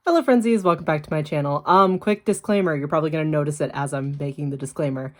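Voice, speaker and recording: A young woman with a hoarse voice, still recovering from being sick, sitting low in her range. She talks bluntly and casually, swearing freely and laughing often as she chats to her viewers. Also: fast talker, darkish tone. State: hoarse from illness. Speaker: YouTuber. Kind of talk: casual unboxing vlog with urgent appeal.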